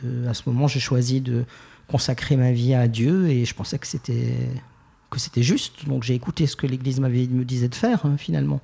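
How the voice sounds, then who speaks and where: A young Swiss French man from Geneva, telling his life story as a podcast guest, thoughtful and hesitant with many pauses and restarts. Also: mid-range voice, steady tone, gravelly, dark tone, slightly rough. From Geneva, Switzerland. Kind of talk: reflective personal interview.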